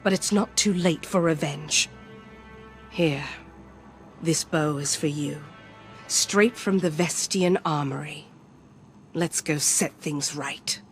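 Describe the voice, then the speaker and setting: A vengeful warrior commander from a video game. She speaks low and slowly, solemn and resolute, with faint music behind her. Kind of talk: video game character acting.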